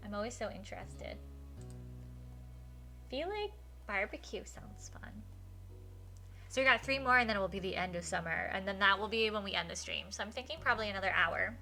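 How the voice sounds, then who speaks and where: A woman's voice: medium pitch, bright, forceful tone. A woman live streamer reading a visual novel aloud expressively and chatting casually, with enthusiastic, gushing reactions.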